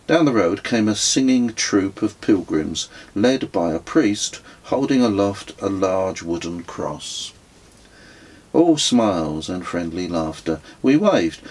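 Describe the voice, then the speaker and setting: A middle-aged man reading his own memoir as an audiobook, with a husky voice and a measured, literary storytelling manner. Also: deep voice, a little mellow. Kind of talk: scripted audiobook narration.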